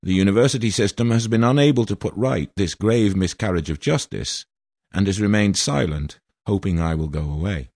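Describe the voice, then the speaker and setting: A man's voice: fairly low pitch, moderate pace, darkish tone, no room sound, clean studio sound. Aggrieved formal older Welshman. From Wales. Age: 60s. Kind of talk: prepared statement read aloud.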